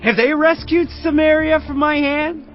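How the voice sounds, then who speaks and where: An actor playing an arrogant Assyrian field commander who taunts a besieged city with loud, mocking declamation. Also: male voice, very high voice, fairly even intonation, moderate pace. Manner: boastful, mocking, declaiming loudly. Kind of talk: dramatized audio Bible acting. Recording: muffled lo-fi audio under music. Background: cinematic music.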